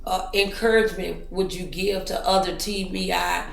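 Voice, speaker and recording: A woman's voice: fairly low pitch, level tone, moderate pace, gravelly, echoey room, soft background music, low-quality recording. A woman hosting a motivational interview in an encouraging, preacherly manner. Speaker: talk show host.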